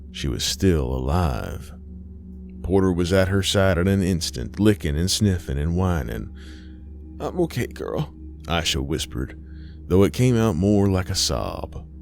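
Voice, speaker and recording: A man reading a horror story as scripted, dramatic narration, measured and suspenseful, with deliberate pauses. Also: low voice, creaky, a little mellow.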